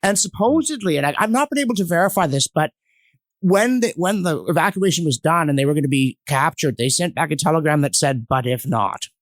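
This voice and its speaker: A man's voice: on the high side, expressive, brisk, no echo. A man telling history in a podcast discussion, earnest and emphatic, digressing and acting out quotes as he goes. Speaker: guest commentator on history. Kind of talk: animated storytelling in a podcast discussion.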